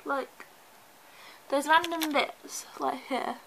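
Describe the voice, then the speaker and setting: A young woman with a slightly high voice chats to a handheld camera in her bedroom. She sounds stuffed up from a cold and talks in a nervous, rambling way full of "like". A faint hiss sits under the home recording. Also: fairly even intonation, slow pace, vocal fry, fairly bright tone, low-quality recording. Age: young adult. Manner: chatty, anxious, lots of "like". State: congested from a cold. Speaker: vlogger. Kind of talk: casual vlog chat to camera. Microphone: handheld camera close up.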